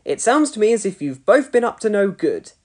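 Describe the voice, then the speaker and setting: A woman reading a storybook, voicing a stern, bossy male fire chief who gives brisk orders. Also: medium pitch, big pitch swings, darkish tone, voice pushed up. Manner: brisk, commanding.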